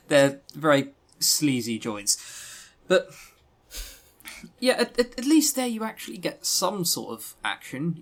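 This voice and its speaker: A man co-hosting a podcast in casual review chat, with a blunt, dryly joking manner. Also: a little high. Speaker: podcast co-host. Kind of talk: casual review chat about visual novels.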